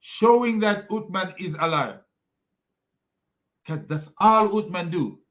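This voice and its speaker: A man's voice: high voice, slow, a bit bright. A Jamaican man ranting in an online commentary video, mocking and scornful, rambling with many restarts. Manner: mocking and scornful, with repeated insults. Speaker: religious commentary video host.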